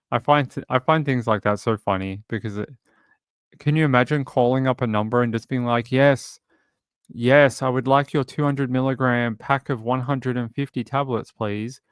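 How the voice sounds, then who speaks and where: A man's voice: medium pitch, steady tone, normal speed, clear, resonant tone, no room sound, studio quality. A man from Sydney with an Australian accent, hosting a livestream in casual, rambling chat with his viewers. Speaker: livestream show host.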